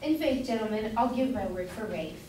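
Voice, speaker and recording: A woman's voice: a little high, animated, moderate pace, dark tone, lightly acted. A woman playing an eager, chatty, naive grocer's wife in an amateur staged reading of an old comedy, gushing and insistent. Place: indoor room at a college, far from mic. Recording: distant echoey room, faint noise.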